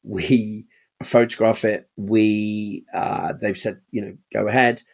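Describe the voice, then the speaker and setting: A man chatting on a casual podcast, telling stories from his auction house with lively, animated energy. He acts out the people in his stories, his voice jumping up when he mimics them, and he laughs often. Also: fairly low pitch, takes it slowly, creaky. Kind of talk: casual chat.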